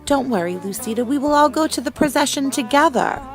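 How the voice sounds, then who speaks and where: A woman voicing a kindly, concerned village neighbor in a storybook, emphatic and expressive. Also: sits high, brisk, soft background music, pitched above the performer's own voice.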